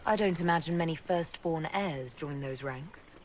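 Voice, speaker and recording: A woman playing a composed, reassuring leader in a fantasy video game, talking in a calm, direct and measured way over background noise. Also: deep voice, natural voice, rough recording. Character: composed, reassuring female military-religious leader. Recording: clear background ambience noise.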